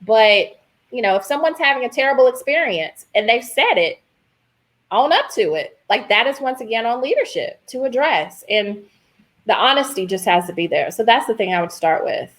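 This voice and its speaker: A woman speaking as a guest professor in an informal discussion, with a candid, deliberate and emphatic manner. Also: a little high, sing-song, fairly bright tone.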